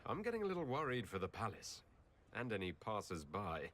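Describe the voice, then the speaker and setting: A man's voice: lowish voice, wildly swooping pitch, fairly quick, fairly bright tone, slight background noise. A man playing a kindly, dryly humorous hero leader in a game cutscene, speaking politely. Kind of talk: video game cutscene acting.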